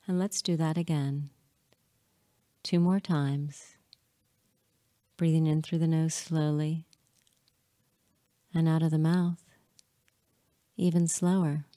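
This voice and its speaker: Slow, low-voiced woman guiding hypnosis. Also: steady tone, mellow, no room sound, clean studio sound. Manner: soothing, measured, with frequent pauses between short phrases. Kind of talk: hypnotic guided meditation.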